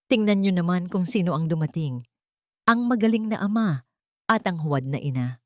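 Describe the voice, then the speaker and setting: A woman's voice: lowish voice, lively intonation, moderate pace, no echo, clean studio sound, natural voice. A woman narrator voicing a smug, mocking rich grandmother in an audiobook drama, with a taunting manner. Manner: taunting, sneering.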